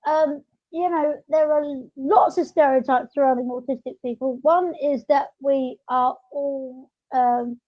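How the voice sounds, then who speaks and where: A woman's voice: sits high, steady tone, unhurried, hardly any pauses. A woman talking in an informal, chatty way as she hosts a casual podcast. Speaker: podcast host. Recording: dull lo-fi audio.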